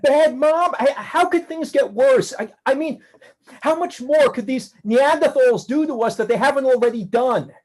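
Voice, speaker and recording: A woman playing a high-voiced, ranting son. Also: normal speed, darkish tone. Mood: defiant. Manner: ranting, sarcastic, confrontational. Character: defiant, ranting adult son.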